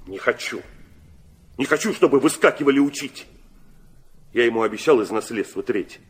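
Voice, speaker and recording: An actor playing an agitated, querulous prince in a Russian classic audio drama, muttering and breaking into fretful outbursts. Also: male voice, medium pitch, animated, moderate pace, husky. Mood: irritated, anxious.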